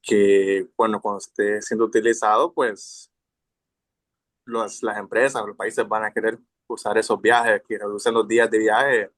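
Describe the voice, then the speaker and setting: A man from Honduras politely puts a question to the speaker. His voice is low and monotone. The recording is dry and close. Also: no room sound. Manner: polite, formal greeting, measured. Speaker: audience member asking a question.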